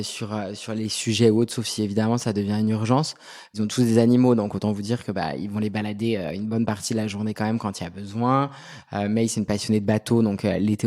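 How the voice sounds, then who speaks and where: A man's voice: lowish voice, a little mellow. A man in his thirties from Brittany, chatting as an interview guest with many fillers and restarts. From Brittany, France. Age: 30s. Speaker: guest, pet specialist and agency head. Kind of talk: casual interview talk.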